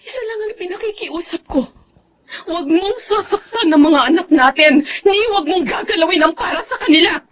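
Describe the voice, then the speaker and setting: A woman's voice: very high-pitched, fairly quick, fairly bright tone, a little hiss or hum. A woman acting in a Filipino radio drama as a long-suffering, devout mother, pleading yet firm and protective. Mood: impassioned defiance.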